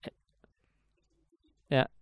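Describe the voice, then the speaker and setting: A man's voice: very slow, dry recording, clean studio sound. A man co-hosting a podcast, chatting casually in loose, joking banter. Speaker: podcast co-host. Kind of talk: casual chat.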